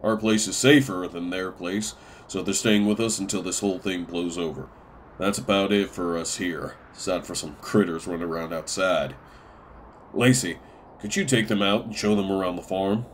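A man's voice: low voice, normal speed, a little hiss or hum, lightly acted. A man playing a stern, plain-spoken older farm patriarch in a comic dub. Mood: calm, grave.

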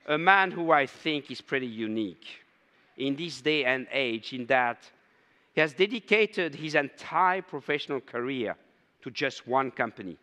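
An older man hosting a conference, giving a measured, formal introduction of the keynote speaker on stage. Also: medium pitch, lively intonation, bright, forceful tone. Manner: measured, ceremonial. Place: large conference hall stage. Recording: long hall reverb.

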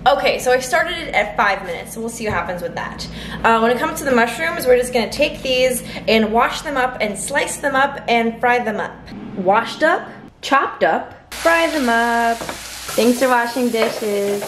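A woman's voice: fairly high pitch, animated, unhurried, a bit bright. A young woman in her twenties chatting casually through a home cooking vlog, upbeat and thinking aloud as she works, filmed close on a handheld camera in a small apartment. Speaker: vlogger. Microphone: handheld camera close to face. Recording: echoey room with faint background noise.

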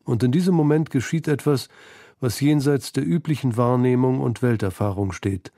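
Male narrator for literary feature. Mellow, mid-pitched, recorded dry. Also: normal speed, no room sound. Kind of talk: scripted literary documentary narration.

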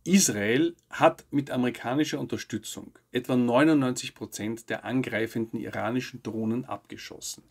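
A man in his fifties from Austria hosting a weekly news show, giving scripted commentary to camera in measured, formal sentences. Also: medium pitch, vocal fry, husky.

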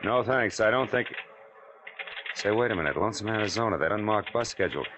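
An actor playing a hard-boiled, wry private detective, clipped and dry, in 1940s radio crime style. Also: male voice, fairly low pitch, expressive, fairly quick, noisy background, close to an everyday voice. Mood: probing. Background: dramatic music.

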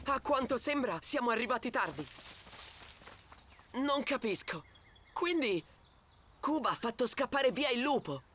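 A woman's voice: on the high side, animated, measured pace, pauses often, a bit bright, a little hiss or hum. A woman voicing a playful, eager young boy tiger cub in a cartoon. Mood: puzzled. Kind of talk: animated cartoon dubbing.

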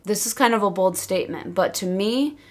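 A woman's voice: medium pitch, fairly quick, a little mellow. A woman co-hosting a wrestling podcast in casual chat, opinionated and emphatic in how she talks. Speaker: podcast co-host.

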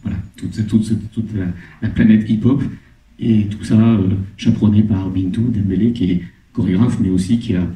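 A middle-aged man with a dark, mellow voice, pitched a little low, speaks haltingly into a handheld mic. He is heard from the back of a big, echoey cinema hall. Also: steady tone, far from the mic. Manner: halting, many restarts and fillers. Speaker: film producer at a screening Q&A. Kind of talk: casual onstage talk to an audience. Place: large cinema hall, on stage. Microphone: handheld microphone over the hall PA.

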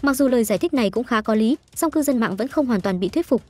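A woman's voice: fairly high pitch, animated, fast talker, darkish tone. A woman reading scripted entertainment news as a narrator. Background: background music.

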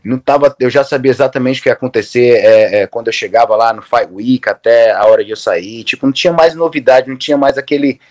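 A man's voice: mid-range voice, quick pace, few pauses. Brazilian man chatting on a video call. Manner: relaxed, rambling with many restarts and fillers. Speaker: interview guest, MMA coach. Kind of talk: casual video-call interview. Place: room at home, indoors.

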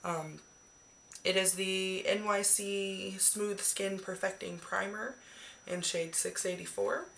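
A woman in her twenties, hoarse from the flu, chatting casually and swearing freely about her beauty favorites into a webcam in a small bedroom. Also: mid-range voice, fairly even intonation, slow pace, a bit bright, a little hiss or hum. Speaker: beauty vlogger. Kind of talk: casual monthly favorites chat. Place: small decorated bedroom, indoors. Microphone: webcam at arm's length.

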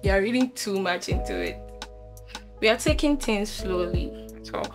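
A woman's voice: medium pitch, expressive, a little slow, close to an everyday voice. A woman playing a young woman who brushes off questions about her date and teases her friend. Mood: teasing. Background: soft music.

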